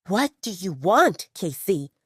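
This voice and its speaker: A woman voicing a stern, protective female werewolf second-in-command in an audio drama, firm and sharp-tongued. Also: on the low side, sing-song, slow, breathy edge, no echo, clean studio sound, heavily acted. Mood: snapping, challenging. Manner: firm, sarcastic.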